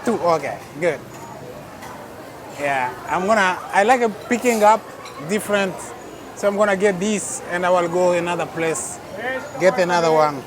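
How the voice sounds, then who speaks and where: A man's voice: high voice, normal speed, long pauses. A man from Texas chatting his way through an outdoor night food court as a travel vlogger, often exclaiming in wonder. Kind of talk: casual walking vlog narration. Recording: noisy crowded street market recording. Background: crowd chatter and traffic.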